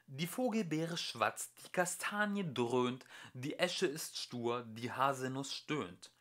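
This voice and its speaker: Male amateur audiobook narrator, mid-pitched, animated intonation, expressive scripted read. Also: slow.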